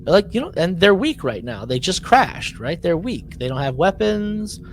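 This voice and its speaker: A man's voice: high-pitched, big pitch swings, moderate pace, a little hiss or hum. A man co-hosting a casual podcast recap, talking emphatically, repeating himself for effect and acting out mock quotes. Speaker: podcast co-host. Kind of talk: animated casual recap chat.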